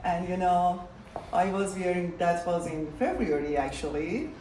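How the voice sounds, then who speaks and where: Slow-paced woman from Iran telling a story. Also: lowish voice, mellow, slight background noise. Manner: halting, laughs between phrases. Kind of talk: live storytelling on stage. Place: event hall with audience. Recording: echoey hall, distant mic.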